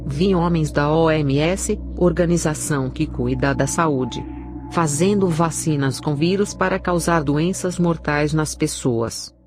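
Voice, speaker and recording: A woman giving a scripted narration of a religious testimony in a solemn, measured way. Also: low voice, darkish tone. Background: background music.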